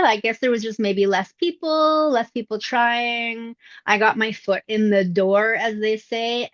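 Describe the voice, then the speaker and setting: A middle-aged woman with a young-sounding voice, talking about her acting career in a relaxed, reflective interview with frequent fillers. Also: medium pitch, dry recording, lo-fi sound. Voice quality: youthful-sounding voice. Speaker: voice actress, interview guest. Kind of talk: casual interview answers. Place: indoors at her mother's home.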